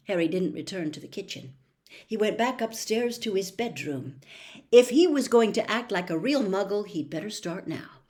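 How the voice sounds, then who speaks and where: A woman narrating a story as an audiobook reader, expressive and dramatic, with emphatic phrasing. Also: mid-range voice.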